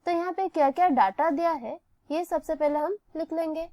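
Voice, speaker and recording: A woman's voice: high-pitched, normal speed, warm tone, no echo. A woman with an Indian accent teaching physics step by step, methodically posing questions and then answering them. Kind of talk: step-by-step lesson, explaining a worked problem.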